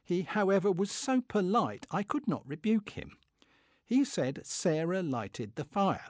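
A pompous middle-aged clerk of the Victorian era, acted out by a man, reading his diary aloud with fussy indignation. His voice swoops expressively and sits a little high for a man, in a very dry, close recording. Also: sing-song, unhurried, a little mellow, no room sound. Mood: fussy, put out. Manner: pompous, indignant, self-satisfied. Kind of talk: dramatic comic reading of a diary.

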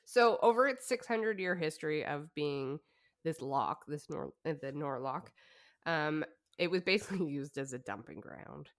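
Chatty woman telling a story, low voice. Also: expressive, moderate pace. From Canada. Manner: chatty storytelling with laughs and fillers. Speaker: podcast co-host. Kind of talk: casual chat.

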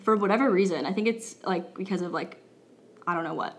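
A woman's voice: mid-range voice, fast talker. Chatty Brazilian woman, laughing often. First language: Portuguese. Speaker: law student podcast co-host. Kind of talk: casual chat.

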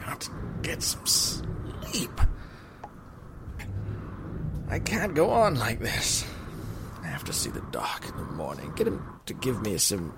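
A man acts out a frayed, sleepless gentleman in a radio melodrama. His gravelly voice swings wildly in pitch as he mutters, gasps and whispers to himself in agitation, over a noisy track. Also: wildly swooping pitch, normal speed, heavily acted. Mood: exhausted. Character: nervous, sleepless wealthy man terrorized by a bat. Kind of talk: melodramatic radio drama acting.

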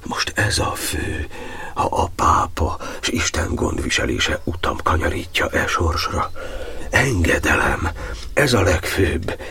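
Radio play: aged cardinal, devout, anguished, unyielding; very low, creaky, rasping delivery, solemn and theatrical. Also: male voice, sing-song, bright, forceful tone, exaggerated voice. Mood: resigned, hushed. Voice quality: gravelly, husky, very deep. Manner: declamatory, solemn, impassioned, dropping into breathy whispers.

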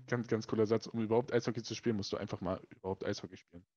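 A man's voice: lowish voice, steady tone, very fast, darkish tone, dry recording. Man with a joking remark, dull sound. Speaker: podcast co-host. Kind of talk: casual sports podcast chat.

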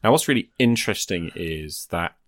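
A man's voice: sits low, expressive, normal speed, a bit bright, no echo, studio quality. A man who runs tabletop games, chatting casually and reflectively as he thinks aloud in loose, conversational phrases. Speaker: podcaster and tabletop game master. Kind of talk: casual, reflective chat about tabletop gaming.

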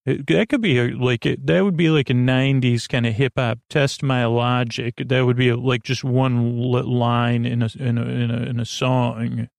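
A man's voice: mid-range voice, steady tone, normal speed, few pauses, no echo. A man hosting a sleep podcast, meandering through tangents with lots of filler words and half-finished sentences. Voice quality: creaky. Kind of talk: rambling bedtime talk.

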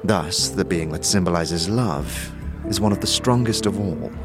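A man voicing a patient, learned sage in a fantasy audio drama, lecturing formally and deliberately. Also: low voice. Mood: earnest, instructive. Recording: music behind the voice.

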